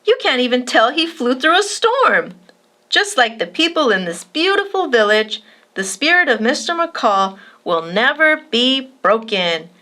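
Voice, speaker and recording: Woman playing an eager, high-pitched young boy. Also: big pitch swings, unhurried, sharp tone, heavily acted. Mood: amazed, proud. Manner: exclamatory, eager. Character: earnest, excitable young boy, devoted to his kite.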